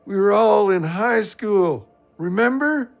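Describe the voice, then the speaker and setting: A man's voice: high-pitched, expressive, takes it slowly, vocal fry, exaggerated voice. An actor playing a grief-stricken, drunken friend brooding on his guilt in an audio drama. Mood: guilt-ridden.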